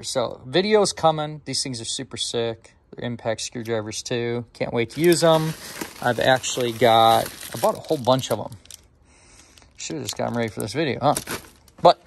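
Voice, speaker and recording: American guy, mechanic doing a casual garage tool walkthrough, chatty and kinda rambly, medium pitch. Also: a little hiss or hum. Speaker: motorcycle mechanic and channel host. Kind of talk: casual workshop walkthrough to camera. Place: motorcycle repair garage workshop, indoors.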